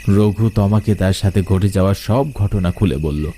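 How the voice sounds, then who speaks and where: A man's voice: deep voice, moderate pace, warm tone, slight background noise. A man narrating a scripted horror story in a dramatic storytelling style.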